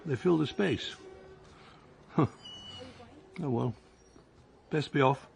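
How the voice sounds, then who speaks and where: A man's voice: medium pitch, slow pace, vocal fry, a little mellow. An actor playing a mild, self-deprecating retired man on a long walk, hesitant and chuckling as he talks. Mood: reflective. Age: older. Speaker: lead character. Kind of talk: radio drama acting.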